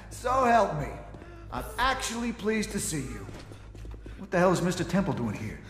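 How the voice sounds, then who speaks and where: An earnest, expressive police captain. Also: male voice, high voice, normal speed. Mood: wry relief. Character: weary, sincere male police captain, a desk veteran. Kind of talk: dramatic video game cutscene acting. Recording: echoey reverberant hall, music behind.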